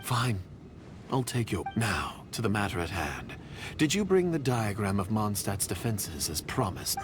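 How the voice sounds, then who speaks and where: An actor playing a suspicious, demanding foreign agent in a video game, guarded and questioning. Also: male voice, fairly low pitch, sing-song, measured pace, noisy background. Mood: grudging, demanding.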